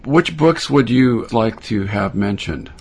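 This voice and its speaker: A man's voice: medium pitch, animated, takes it slowly, vocal fry, a little mellow, a little hiss or hum. A man interviewing a guest, asking brief, plain questions.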